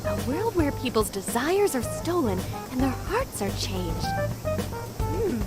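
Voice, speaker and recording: Bubbly, swooping eccentric scientist. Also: female voice, high voice, sing-song, takes it slowly, heavily acted. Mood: fascinated, musing. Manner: breezy, enthusiastic, chatty. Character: bubbly, eccentric adult woman AI researcher. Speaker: voice actor in game dub. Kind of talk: animated English game dub acting. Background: music.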